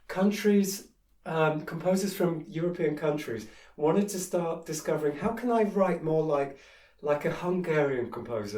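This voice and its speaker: A man's voice: on the high side, darkish tone. A man giving a casual, frank piano lesson, singing melodies on "da" between explanations. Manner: chatty, frank, sings melodies on "da". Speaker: piano teacher. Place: room with a piano. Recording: roomy, distant mic, piano playing.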